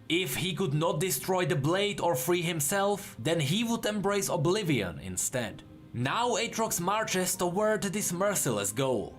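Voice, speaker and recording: Man narrating fantasy lore, animated, slightly high. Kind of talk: dramatic scripted story narration.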